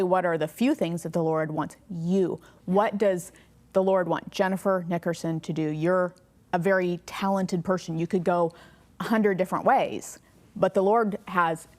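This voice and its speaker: A woman hosting a faith podcast, asking questions and affirming her guest warmly with quick agreements. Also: lowish voice. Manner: affirming, encouraging, many quick yeahs. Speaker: podcast host.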